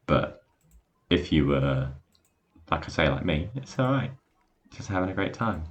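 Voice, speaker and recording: A man's voice: rumbling low, big pitch swings, unhurried. A young man bantering on a podcast in a blunt, offhand way, often trailing off. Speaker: podcast co-host. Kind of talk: casual banter.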